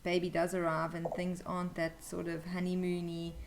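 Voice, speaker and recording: A woman's voice: fairly low pitch, monotone, normal speed, vocal fry, background noise. A young woman telling a personal story as an interview guest, casually and candidly, with some hesitation. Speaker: interview guest, new mother.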